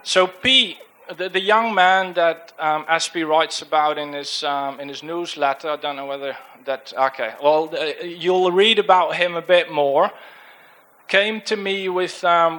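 A man's voice: on the high side, a bit bright. A young man with a Dutch accent giving an informal church talk about a mission trip, hesitant with many fillers and restarts. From Netherlands. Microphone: handheld microphone.

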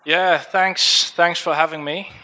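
A man's voice: on the high side, fairly even intonation, slow pace, sharp tone, a little hiss or hum, low-quality recording. A young man with a Dutch accent giving an informal church talk about a mission trip, hesitant with many fillers and restarts. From Netherlands. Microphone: handheld microphone.

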